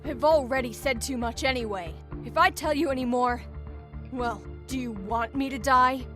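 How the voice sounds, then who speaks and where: Sarcastic captive woman, played by a woman, high-pitched and foul-mouthed, over music. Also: moderate pace, natural voice. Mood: scornful. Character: crude sneering captive woman, hostile. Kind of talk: fan audio drama acting.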